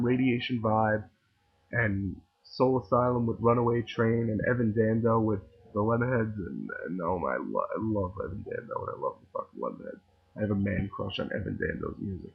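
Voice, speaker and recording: Man, New York accent, podcast host, sarcastic rambling rant, raspy voice, lo-fi phone-like sound. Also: lowish voice. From New York City. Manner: opinionated, sarcastic, rambling with restarts. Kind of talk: casual ranting monologue. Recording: tinny phone-like audio.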